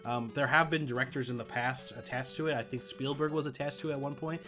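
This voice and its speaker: A man's voice: mid-range voice, steady tone, quick pace, music in the background. A man co-hosting a pop culture podcast in an informal, agreeable, chatty way. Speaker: podcast co-host.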